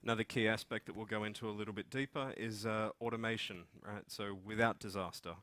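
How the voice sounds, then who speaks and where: Bright, forceful Australian man presenting. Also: on the low side, fairly even intonation, fast talker. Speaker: conference speaker, software development manager. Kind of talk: informal conference presentation. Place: meeting room with seated audience, indoors.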